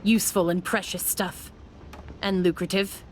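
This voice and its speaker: An actress playing a shrewd, self-assured monster hunter in a video game, with a wry, unhurried manner. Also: female voice, on the low side, fairly even intonation, a little hiss or hum, natural voice. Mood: wry, confident.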